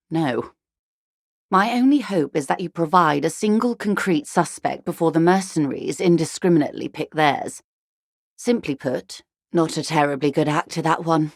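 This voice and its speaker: A woman playing a composed, shrewd corporate negotiator in a video game, speaking in a measured, refined and dryly ironic way. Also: on the low side, no room sound, studio quality. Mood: grave, measured. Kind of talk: video game character dialogue.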